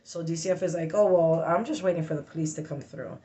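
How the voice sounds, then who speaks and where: Agitated, halting woman venting, slightly low voice. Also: mellow. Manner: blunt, sweary, halting speech with sudden involuntary vocal tic outbursts of "wow"; agitated, emphatic. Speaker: vlogger telling a personal story. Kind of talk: casual, venting storytelling.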